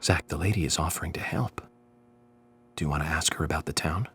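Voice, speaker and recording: An actor with an American accent playing an eccentric, polite investigator in a video game, speaking in a measured, formal way. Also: male voice, mid-range voice, animated, lightly acted. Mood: brooding, musing. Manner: measured, formal, musing aloud. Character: eccentric polite male investigator, brooding and courteous. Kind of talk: scripted video game voice acting.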